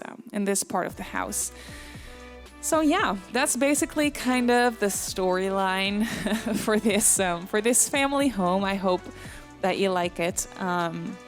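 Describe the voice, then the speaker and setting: A young woman with a Dutch accent, chatting casually over a game build, with frequent ums and soft laughs. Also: medium pitch. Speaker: Sims builder and YouTuber.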